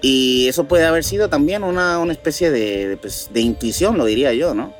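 A man with a fairly high voice co-hosts a news talk show over faint music. He reads items out, then shifts into casual reflection, feeling his way through phrases with some hesitation. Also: expressive, fairly quick. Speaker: co-host of a paranormal news show.

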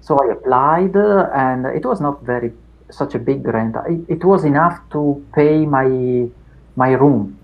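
A middle-aged man with an Italian accent, Italian his first language, talking through his past in an unhurried interview with many fillers and restarts. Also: mid-range voice, lo-fi sound. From Italy. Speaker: academic guest. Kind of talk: reflective interview chat.

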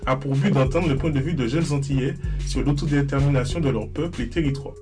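A young man from Martinique hosting a student podcast, reading a measured scripted introduction and then putting questions to his guests. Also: mid-range voice, music in the background. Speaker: podcast host. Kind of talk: read introduction and moderating.

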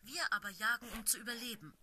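A woman's voice: mid-range voice, moderate pace, pushed and bright, a little hiss or hum. A woman voicing a proud, stern she-cat who leads a warrior clan, speaking in a firm, commanding way. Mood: angry.

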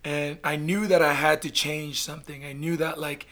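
A middle-aged man from Canada telling his story in a casual interview, blunt and animated, swearing freely. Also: a little high, normal speed. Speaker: guest, basketball player.

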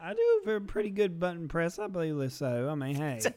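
Joking radio board operator, higher voice. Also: male voice, huge pitch swings, quick pace, darkish tone. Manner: self-deprecating and joking. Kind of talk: show intro and studio banter. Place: radio studio.

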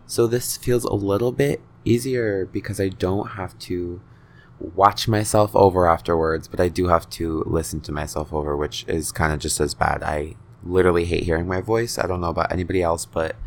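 19 yr old dude rambling on his podcast, low voice, swears a ton, kinda self-deprecating. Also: steady tone, moderate pace, vocal fry. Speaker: podcast host. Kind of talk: casual solo podcast ramble.

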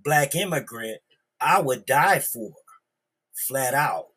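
A man's voice: medium pitch, animated, slow pace, pushed and bright, breathy edge. A man talking bluntly and profanely in a casual, heated group discussion. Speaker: podcast discussion participant.

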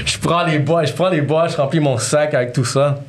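A man's voice: on the high side, breathy edge. A man in his thirties with a Quebec French accent, telling his life story as a podcast guest, freely mixing English slang into his French. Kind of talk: casual storytelling interview.

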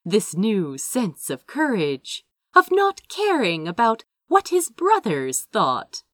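Very animated, unhurried woman storyteller. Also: medium pitch, dry recording, clean studio sound. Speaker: audiobook reader. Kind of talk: expressive scripted story narration.

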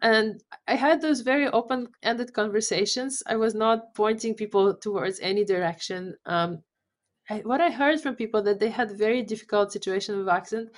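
A woman's voice: on the high side, normal speed. A middle-aged woman with a Polish accent, a startup founder being interviewed on a podcast, earnest and often repeating words as she finds them.

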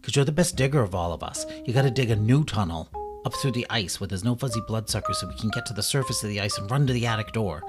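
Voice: mid-range voice, fast, music in the background, natural voice. A man voicing a bossy, brash female kitten in a children's story, with a feisty, exclamatory delivery. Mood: bold.

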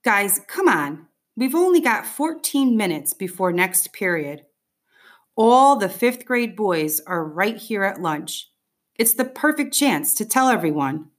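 A woman's voice: medium pitch, very animated, a little slow. A woman reading the part of a bossy, eager fifth-grade boy rallying his friends in an audiobook. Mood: confident, urging.

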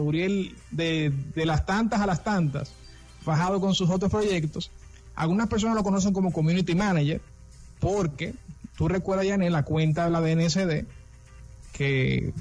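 A man's voice: a little high, darkish tone. A Dominican man co-hosting a radio interview, chatty and introducing the guests at length with many restarts. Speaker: co-host. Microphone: remote call.

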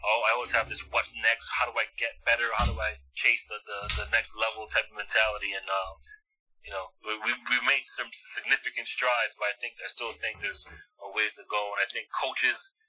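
A man's voice: fairly low pitch, flat delivery, normal speed, sharp tone, slight background noise, low-quality recording. A middle-aged man from Montreal, a former basketball player, chatting as a guest in a casual interview. He talks at length and with emphasis, often repeating words as he builds a thought. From Montreal, Canada.

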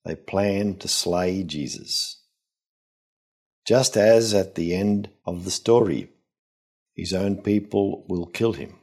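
An older man, a priest and scholar, giving a scripted gospel reflection in a measured, solemn, emphatic way. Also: sits low, a little mellow, husky.

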